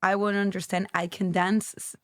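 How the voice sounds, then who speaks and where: A woman's voice: mid-range voice, flat delivery, quick pace, no room sound, clean studio sound. A Venezuelan woman in her late twenties, a podcast guest with a Venezuelan accent, talking loosely and rambling with restarts and uneven English. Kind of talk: casual interview chat.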